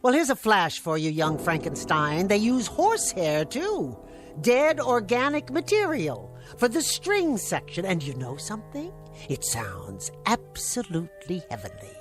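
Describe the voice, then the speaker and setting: A sharp-tongued woman lets her pitch leap and dip as she needles and mocks. Her sarcasm is quick and biting, with faint music heard behind her in this radio play. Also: wildly swooping pitch. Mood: sarcastic. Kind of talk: radio drama acting.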